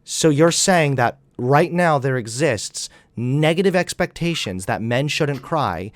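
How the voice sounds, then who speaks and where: A man's voice: mid-range voice, animated, unhurried, no room sound. A young man hosting a heated online debate, lecturing and talking over his opponent. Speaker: debate host.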